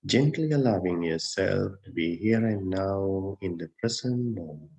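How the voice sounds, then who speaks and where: A man leading a guided meditation as a teacher, speaking gently and unhurriedly in short phrases with long pauses. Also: deep voice, expressive, creaky. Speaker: Buddhist monk, meditation teacher. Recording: dull, muffled lo-fi sound.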